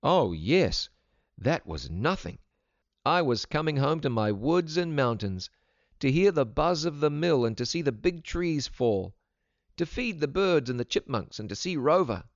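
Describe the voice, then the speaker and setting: A man's voice: on the high side, big pitch swings, a little slow, no echo, highs cut off, voice pushed up. A man voicing an earnest, homesick runaway country boy in a storybook, speaking plaintively and wistfully.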